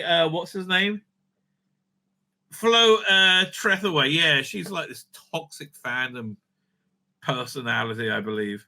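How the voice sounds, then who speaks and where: A man's voice: high voice, pushed and bright. A man giving casual, unscripted online commentary, sweary, sarcastic and exasperated in manner. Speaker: online commentator reviewing audio drama releases.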